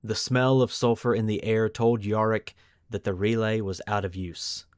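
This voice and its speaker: A man's voice: on the low side, flat delivery, normal speed, smooth, no room sound, clean studio sound. A man hosting a podcast, moving between storytelling narration and casual discussion. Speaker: podcast host.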